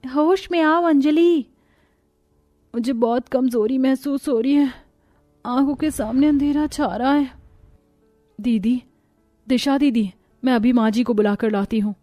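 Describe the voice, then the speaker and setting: A woman's voice: high-pitched, measured pace, pauses often, dark tone, dry recording, faint music behind. A woman voicing a worried, dutiful young daughter-in-law in a cartoon story, speaking politely and pleadingly. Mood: alarmed. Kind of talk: Hindi cartoon moral-story dialogue.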